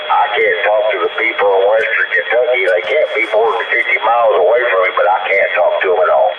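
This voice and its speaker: A man's voice: lowish voice, fast. A man, chatting in a folksy, joking way over CB radio. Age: 50s. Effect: CB radio transmission. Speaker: amateur CB radio operator. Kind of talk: casual two-way radio chat. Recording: narrow, very noisy CB radio reception.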